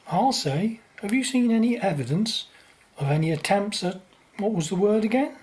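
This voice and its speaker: A woman's voice: mid-range voice, expressive, normal speed, darkish tone, natural voice. A woman playing an earnest, idealistic son in a radio play, brave and self-sacrificing. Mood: concerned.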